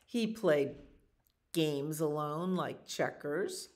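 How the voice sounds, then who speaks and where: A woman in her sixties reads a picture book aloud for children, pausing for casual asides that explain the pictures in an unhurried manner. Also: deep voice, animated, a little mellow. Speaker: children's storytime reader. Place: small library children's room.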